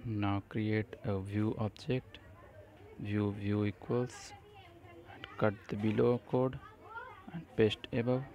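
A man presenting an unscripted screencast coding tutorial in short, halting phrases. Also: on the low side, little pitch movement, dark tone, dull audio.

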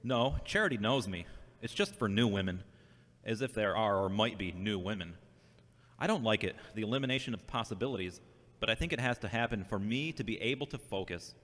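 A man's voice: lowish voice, expressive, a little fast, a bit bright. A man playing an earnest, devout, searching younger brother who questions himself, in an amateur audio drama. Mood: earnest, reasoning. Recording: reverberant hall-like sound.

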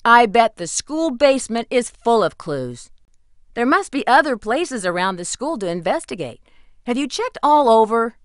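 Casting: caring female sidekick in a detective game, slightly high and very expressive, dry sound. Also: moderate pace, no echo. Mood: concerned, urging. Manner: urging, helpful. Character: concerned female friend giving a detective hints. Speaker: video game character actor. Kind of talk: scripted game dialogue.

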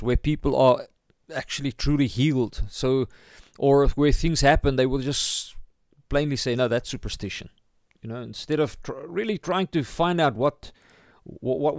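A South African man teaches in the manner of an informal sermon. His voice is medium in pitch with a gravelly creak, recorded dry without room echo. He often gropes for the right word and corrects himself. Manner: halting, searching for words, self-correcting. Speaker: pastor.

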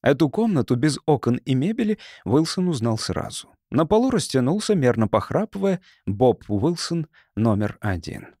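Expressive male audiobook narrator. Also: mid-range voice, normal speed, hardly any pauses, dry recording, studio quality. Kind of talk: scripted literary narration.

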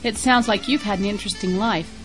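A woman playing a friendly, sociable young working woman in a scripted English lesson, clear and interested. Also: medium pitch, fast talker, soft background music, natural voice.

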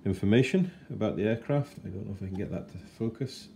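A man in his forties giving a chatty, unhurried model kit review at his kitchen table. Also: on the low side, gravelly, mellow, a little hiss or hum. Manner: chatty and unhurried, with fillers and self-corrections. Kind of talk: casual unboxing review.

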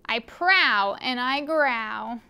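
A woman's voice: a little high, expressive, drawn-out words, bright, forceful tone, clear, resonant tone, heavily acted. A young woman voicing a proud, playful fox who pretends to be a tiger in a children's picture book.

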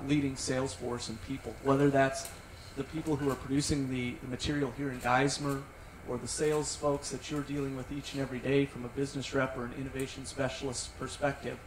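A man's voice: mid-range voice, fairly even intonation, brisk. A middle-aged man, a marketing director, speaking in a polished corporate way on a panel into a handheld mic in a tent. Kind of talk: panel discussion. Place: large tent at an outdoor event. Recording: roomy sound from some distance, faint noise.

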